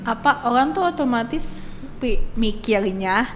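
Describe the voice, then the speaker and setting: A teenage girl chats easily as a podcast guest, with lots of fillers and quick laughs. A faint hiss runs under her voice. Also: a little high, lively intonation. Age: teens. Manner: chatty, laughs often, many fillers. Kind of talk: casual podcast chat.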